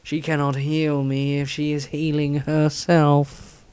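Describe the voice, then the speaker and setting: A man voicing a gruff, scornful male adventurer in a fantasy audiobook, drawling slowly and threateningly. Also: fairly high pitch, monotone, a little mellow, no echo.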